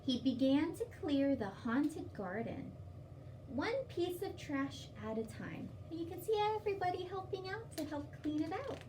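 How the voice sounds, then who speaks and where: A woman's voice: high voice, sing-song, moderate pace, a little mellow. A woman hosting storytime, reading aloud and explaining to young children in simple, instructive phrases. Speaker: children's storytime host at a library. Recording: distant mic, faint background noise.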